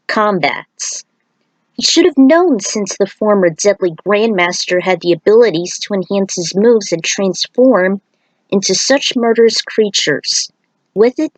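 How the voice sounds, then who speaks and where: A woman's voice: mid-range voice, no echo, low-quality recording. A woman narrating a scripted fantasy story.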